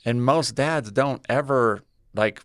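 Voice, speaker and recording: A man's voice: mid-range voice, slow, fairly bright tone, dry recording, clean studio sound. A man on a podcast, reflective, thinking aloud in broken fragments. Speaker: podcast co-host, writing a book. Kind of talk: casual conversation.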